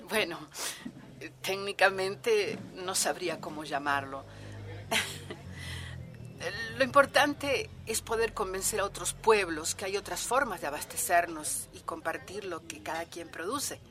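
An actress in a radio drama playing an earnest, proud village farmer who speaks out as an activist in an interview. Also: female voice, lowish voice, takes it slowly, pushed and bright, faint music behind. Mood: good-humoured, proud.

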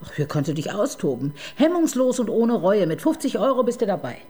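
An actress playing a sharp, sardonic homicide detective in a radio crime drama, brisk, dry and probing. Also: female voice, mid-range voice, sing-song, natural voice. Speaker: detective chief inspector.

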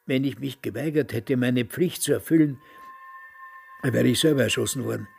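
An actor in a German radio drama playing an aged former SS guard, cowardly and self-pitying, with a halting, spluttering, wheedling manner. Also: male voice, medium pitch, vocal fry, a little mellow, husky, faint music behind. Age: older.

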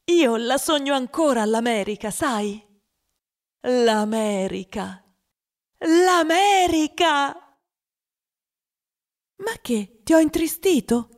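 A woman's voice: high-pitched, expressive, takes it slowly, pauses often, full character voice. An actress playing a wistful, dreamy, overweight young boy who confides his story in a radio drama monologue. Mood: sad, longing.

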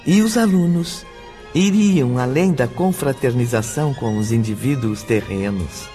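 A man's voice: medium pitch, a little slow, dark tone. Brazilian man narrating over music. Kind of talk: scripted radio drama narration.

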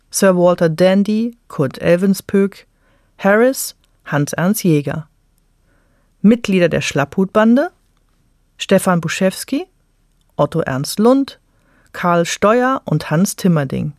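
An androgynous-sounding radio announcer speaks standard German at a medium pitch. The delivery is scripted, measured and clearly articulated. The sound is dry, with hardly any room around the voice. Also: dry recording.

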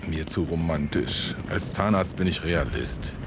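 A man's voice: very deep voice, expressive, measured pace, noisy background. An older man, an actor playing an aging, sardonic and nostalgic dentist in a German radio play, with a wry, laconic manner. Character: aging male dentist, sardonic, nostalgic old drinking companion.

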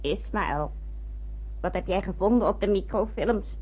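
A woman playing a small, plucky spaceship crew member in radio drama dialogue, with a husky voice. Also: medium pitch, measured pace. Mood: chatty, defensive. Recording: muffled old radio play recording.

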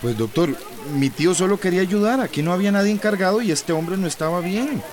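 A man's voice: sits high, animated, fast talker. An actor playing a feisty, protective village man with a Central American accent in a radio drama, blunt and plain-spoken. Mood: defiant.

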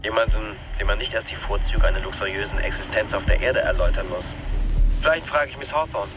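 An actor playing a cool, threatening corporate negotiator in a science fiction radio drama. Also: male voice, on the low side, fast, music in the background, natural voice. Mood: dismissive.

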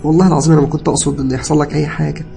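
A man's voice: on the high side, steady tone, rapid-fire, gravelly, dark tone, soft background music, voice pushed up. A man voicing a guilt-ridden young cousin who cries, stammers and pleads in Egyptian Arabic, in an audio story. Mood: tearful, guilty. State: crying. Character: distraught tearful young male cousin, confessing guiltily.

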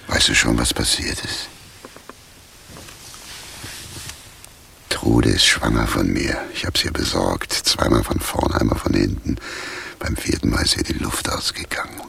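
An actor playing a morbid, sentimental vagrant in a 1980s radio play, with a gravelly voice. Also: male voice, rumbling low, steady tone, moderate pace, bright, forceful tone, slight background noise, full character voice. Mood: morbid murmur. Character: shivering male vagrant, drunk-sentimental, morbid and mournful.

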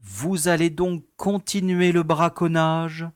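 An actor playing an easygoing, self-important prison director in an audio comedy, speaking in a courteous, formal, paternal way. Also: male voice, on the high side, flat delivery, takes it slowly, dry recording, clean studio sound. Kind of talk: French radio comedy acting.

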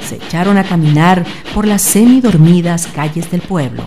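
A woman's voice: on the low side, normal speed, mellow, slight background noise. A woman reading scripted narration for a radio drama.

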